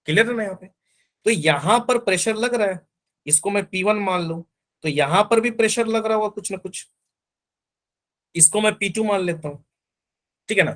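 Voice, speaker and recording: A man's voice: high voice, bright, forceful tone. A man teaching physics in an online class, explaining step by step and often checking that his students understand.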